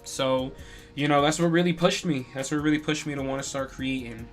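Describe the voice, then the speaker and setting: A man's voice: mid-range voice, steady tone, brisk, faint music behind. A young man hosting a live stream, talking in a casual, chatty way with lots of "you know" fillers. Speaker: streamer and podcast host.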